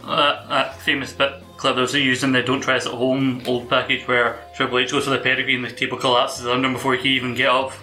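A man's voice: medium pitch, little pitch movement, fast, few pauses, bright, forceful tone, soft background music, rough recording. A man co-hosting a podcast, chatting casually with dry, short remarks. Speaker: podcast co-host. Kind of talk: casual chat.

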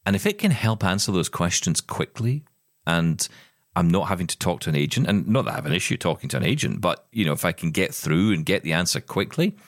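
Deep-voiced enthusiastic British man. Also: expressive, a little fast, dry recording, clean studio sound. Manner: enthusiastic, rambling with restarts. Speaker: podcast co-host. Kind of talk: casual tech news chat.

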